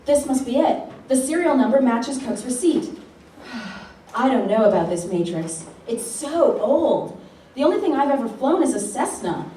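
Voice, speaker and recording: A woman's voice: a little high, very animated, moderate pace, mellow. A woman playing Cindy, a plucky, scatterbrained and nervous pilot in a comic radio play performed live in a hall. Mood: doubtful. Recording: roomy live hall, distant, noisy.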